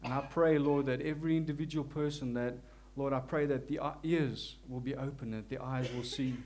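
A man's voice: medium pitch, steady tone, slight background noise. Earnest South African man preaching. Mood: prayerful. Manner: earnest, humble, halting with many restarts; prays softly and slowly. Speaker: lay preacher. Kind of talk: church sermon and prayer. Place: church.

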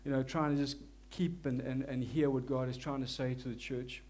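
A man with a South African accent preaching a church sermon, earnest and humble, with frequent restarts and softer, slower prayer passages. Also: medium pitch, flat delivery. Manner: prays softly and slowly. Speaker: lay preacher.

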